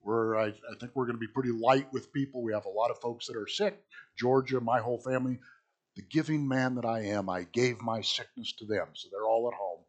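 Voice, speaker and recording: Hoarse, husky male pastor. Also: medium pitch, fairly even intonation, a little fast. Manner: casual, joking, with asides and restarts. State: hoarse from illness. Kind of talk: Sunday school teaching. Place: small church.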